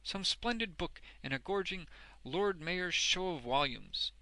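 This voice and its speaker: A man's voice: high-pitched, expressive, measured pace, sharp tone, dry recording, pitched above the performer's own voice, heavily acted. A man voicing a hearty, uneducated old working man in a storybook, blunt and exuberant, with emphatic, ungrammatical speech. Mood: eager, bluff.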